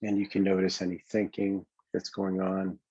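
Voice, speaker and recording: A man with a very low, husky voice guides a meditation in a gentle, improvised way. He takes his time, halting and leaving long pauses between phrases. The recording sounds dull and lo-fi. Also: completely flat delivery. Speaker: meditation coach leading a guided exercise. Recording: dull, boxy, roomy lo-fi sound.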